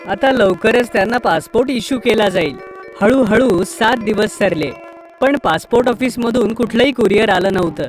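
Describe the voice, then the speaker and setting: A woman's voice: a little high, normal speed. A woman reading a scripted children's story as its narrator. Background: music.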